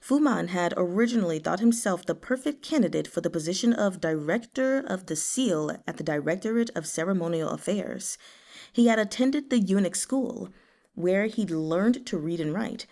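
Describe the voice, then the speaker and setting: A woman's voice: fairly low pitch, lively intonation, moderate pace, a little mellow. Woman narrating a novel. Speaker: reader hosting a book read-along. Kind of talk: audiobook-style narration with casual asides.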